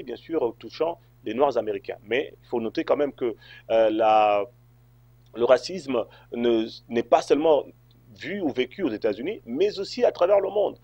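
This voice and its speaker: A man's voice: medium pitch, a little mellow, no room sound, muffled recording. A man from Congo answering interview questions, hesitant, with many fillers and restarts. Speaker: interview guest.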